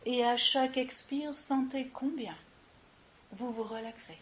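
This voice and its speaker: Slow, higher-voiced woman guiding relaxation. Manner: soothing, unhurried instructions with long pauses. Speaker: yoga nidra instructor. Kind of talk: guided relaxation meditation. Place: live session with ambient sound. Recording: muffled live recording with clear hiss.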